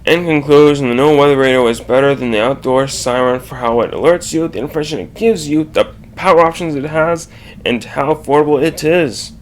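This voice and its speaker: A man reading a scripted slide presentation aloud, haltingly, correcting himself and adding asides. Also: a little high, a little hiss or hum.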